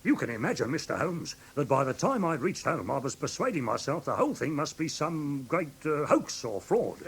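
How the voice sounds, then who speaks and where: An actor playing a flustered, credulous English pawnbroker in a British radio drama, hesitant and rambling as he tells his story, with a husky, rough voice. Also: a little high, very animated, moderate pace, a bit bright, heavily acted. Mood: eager, bemused. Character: flustered, credulous red-haired male London pawnbroker, excitable storyteller.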